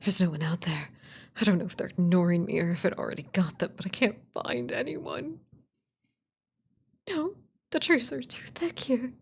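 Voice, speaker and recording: Radio play casting: a woman performing a scared woman lost in the woods, breathy, halting, hushed, with some creak. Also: mid-range voice, slight background noise, close to an everyday voice. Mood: panicked, hushed. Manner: breathy, halting, half-whispered delivery. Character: frightened woman caller lost alone in the woods.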